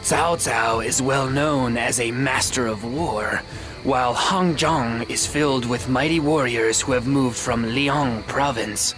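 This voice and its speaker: A man playing a grave, critical military advisor in a dramatic video game, in a measured, stern, emphatic manner. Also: medium pitch, music in the background, natural voice.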